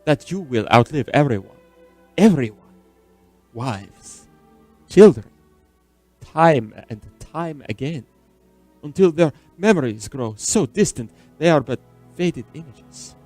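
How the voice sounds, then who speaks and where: An actor in a radio drama playing a weary, secretive, centuries-old immortal man who speaks in formal, measured, eloquent phrases. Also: a little high, lively intonation, darkish tone, no room sound. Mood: anguished, lonely. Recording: orchestral film music underneath.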